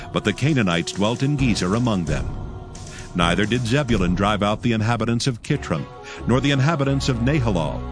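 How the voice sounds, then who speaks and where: A man narrating scripture from a script in a solemn, dramatic storytelling manner. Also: lowish voice.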